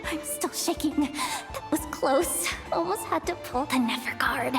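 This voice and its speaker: A woman's voice: high-pitched, a little slow, music in the background. A woman voicing a plucky young woman organiser who likes to bluff tough, in a video game. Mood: relieved.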